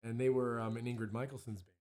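A hoarse man, a musician and songwriter, chatting casually as an interview guest in a rambling, self-interrupting way. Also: medium pitch, little pitch movement, quick pace, darkish tone. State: hoarse from allergies, clearing throat.

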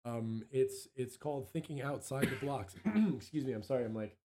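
A hoarse man, a musician and songwriter, chatting casually as an interview guest in a rambling, self-interrupting way. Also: mid-range voice, normal speed, dark tone. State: hoarse from allergies, clearing throat.

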